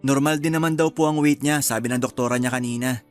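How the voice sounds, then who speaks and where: A man's voice: medium pitch, fairly even intonation, fast talker, faint music behind, lightly acted. A man playing an easygoing, reassuring young husband in a radio drama. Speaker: husband character.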